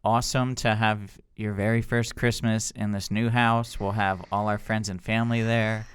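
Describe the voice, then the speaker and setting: A man's voice: fairly low pitch, flat delivery, moderate pace, smooth. A young man co-hosting a podcast with casual, conversational banter. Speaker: podcast co-host.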